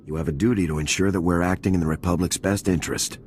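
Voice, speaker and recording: An actor playing a composed, dutiful male army major in a video game, with a brisk, businesslike military manner. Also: low-pitched, fairly even intonation, darkish tone, a little hiss or hum, close to an everyday voice.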